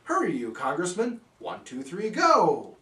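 A man doing a sly, showy storybook cat, pitched high. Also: huge pitch swings, unhurried, a bit bright, roomy sound, distant mic, exaggerated voice. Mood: showy, urging. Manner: exclaiming, showy singsong rhyming. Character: sly boastful male cat, pushy trickster, storybook.

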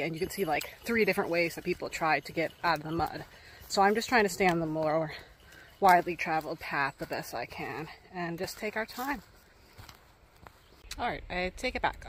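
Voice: mid-range voice, a little hiss or hum, rough recording. A young adult vlogger talking casually to a phone camera held at arm's length while hiking a wooded mountain trail, a little out of breath, thinking aloud with many fillers.

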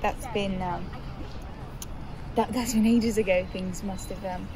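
A woman's voice: mid-range voice, steady tone, unhurried, a crowd in the background, close to an everyday voice. A woman playing an awkward, apologetic young woman in naturalistic drama, stammering and repeating herself. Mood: apologetic, uneasy. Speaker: actor in an immersive theatre audio piece. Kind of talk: naturalistic dialogue acting. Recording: noisy outdoor background with traffic.

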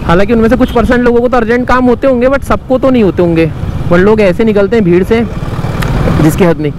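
A young man, talking casually and rambling on while he rides, his voice sitting fairly high. It is picked up by an action camera mic on a moving motorcycle, with clear wind and traffic noise around him. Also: fast talker. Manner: chatty, rambling, friendly asides to viewers. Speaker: motovlogger. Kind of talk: casual riding commentary. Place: on a motorcycle riding outdoors on roads.

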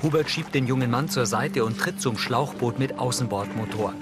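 A man reading scripted audio description in a neutral, factual, measured way. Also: mid-range voice, music in the background.

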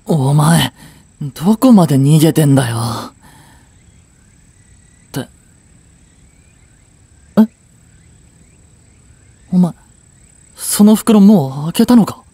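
A man's voice: on the high side, animated, takes it slowly, pauses often, mellow, breathy edge, no echo, exaggerated voice. A young man acting a curt, flustered boyfriend who hides his affection, with a hesitant, brusque delivery in a romance audio drama. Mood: exasperated, brusque. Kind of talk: Japanese romance audio drama aimed at women.

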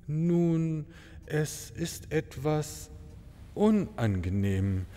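A man's voice: fairly high pitch, expressive, very slow, warm tone. A man playing a courteous, dignified travelling maharaja in a fairy-tale audio comedy, speaking in formal, flowery euphemisms. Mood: delicate, embarrassed. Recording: long reverberant hall sound.

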